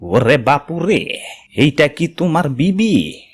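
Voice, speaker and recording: Cartoon genie, played by a man: benevolent, unhurried and theatrical, stretching words out slowly. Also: on the high side, sing-song, breathy edge, full character voice. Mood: patient. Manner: drawn-out, theatrical exclamations. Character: slow-talking benevolent male genie of the well. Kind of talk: comic cartoon fable voice acting.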